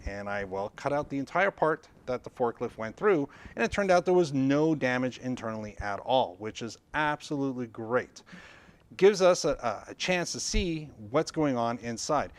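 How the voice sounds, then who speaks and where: A man giving an informal, explanatory product demonstration in a conversational, instructional way, repeating points for emphasis. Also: medium pitch. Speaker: product reviewer and presenter.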